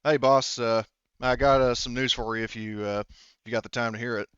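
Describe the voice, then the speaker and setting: A man's voice: medium pitch, fairly even intonation, brisk, fairly bright tone, smooth, no room sound, lo-fi sound, natural voice. A man playing a deferential security officer in a tabletop game, reporting informally and hesitantly to his boss.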